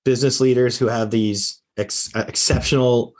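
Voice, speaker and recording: A man's voice: medium pitch, steady tone, normal speed, darkish tone. A man hosting an interview, speaking in an encouraging, advice-giving way and asking his guest thoughtful questions. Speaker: host. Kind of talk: conversational interview.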